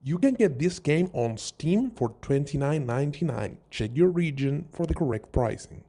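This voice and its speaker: A man's voice: a little high, expressive, normal speed, dark tone. A man narrating a scripted video game countdown as host, in an informal explainer manner, posing questions and answering them himself. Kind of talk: scripted video narration, top-five list commentary. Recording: music bed under the voice. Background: background music.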